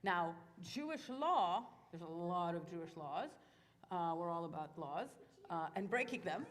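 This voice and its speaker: A woman's voice: fairly low pitch, animated, a little slow. A woman from Israel, a Hebrew speaker, explaining things to a youth group in a chatty, informal way with plenty of fillers. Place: synagogue sanctuary. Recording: echoey hall.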